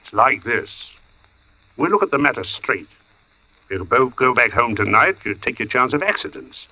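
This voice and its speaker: An actor playing a plain-spoken, intense, quietly menacing workman, talking in clipped, folksy phrases in 1940s radio suspense style. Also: medium pitch, sing-song, normal speed, dry recording, full character voice. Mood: intense, insistent. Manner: clipped, folksy, matter-of-fact. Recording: dull old radio recording.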